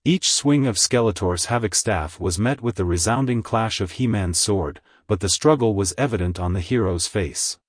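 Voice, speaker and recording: Low-voiced man narrating a dramatic story. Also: moderate pace, few pauses, no room sound, studio quality. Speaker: narrator, fan fiction reader.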